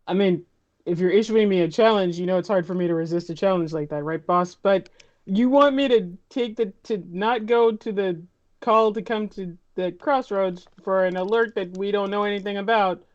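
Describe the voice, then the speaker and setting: A woman's voice: medium pitch, normal speed, close to an everyday voice. Woman playing a skeptical, practical starship tech, muffled. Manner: dry, questioning, casual.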